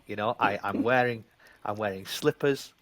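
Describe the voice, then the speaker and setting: Man, broad yorkshire accent, 30s, animated self-deprecating guest, video call from home. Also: lowish voice, moderate pace. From England (Leeds). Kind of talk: casual interview chat.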